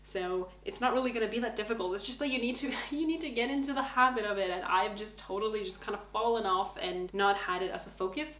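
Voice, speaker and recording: A woman's voice: medium pitch, fairly bright tone, reverberant. A Norwegian woman in her thirties with an accent leaning American, chatting candidly to camera with a self-deprecating, chatty manner. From Norway. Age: 30s. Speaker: vlogger. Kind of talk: casual chat to camera.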